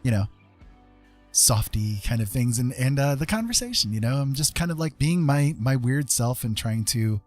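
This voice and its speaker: A man's voice: medium pitch, lively intonation, moderate pace. A man hosting a casual art livestream, playful and self-deprecating, chatting to viewers and muttering to himself as he works. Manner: muttering to himself while working. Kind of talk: casual livestream chat while demonstrating digital art. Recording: soft background music under the voice. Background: soft music.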